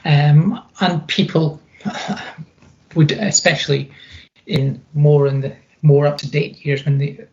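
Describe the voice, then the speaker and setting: A middle-aged woman talks as a guest in a reflective interview. Her voice is deep and heavily gravelled, and she leans on repeated phrases to make her point. The recording sounds a little dull and lo-fi. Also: slow, mellow.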